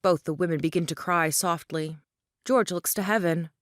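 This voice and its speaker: A woman's voice: on the low side, steady tone, normal speed, dry recording, clean studio sound. A woman with a Scottish accent reading scripted stage directions as narrator.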